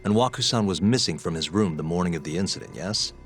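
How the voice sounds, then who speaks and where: An actor playing a sharp young male private investigator who asks questions in a calm, probing, methodical way, in a game scene with music behind. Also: low-pitched, fast talker. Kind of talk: game dub acting.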